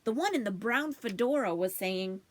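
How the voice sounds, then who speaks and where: A woman reading a children's storybook aloud as expressive narration, in the manner of a library storytime. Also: medium pitch, normal speed.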